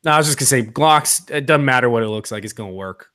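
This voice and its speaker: A man chatting casually on a podcast, opinionated and quick to laugh. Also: medium pitch, pushed and bright, clean tone. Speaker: podcast co-host. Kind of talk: casual chat about firearms.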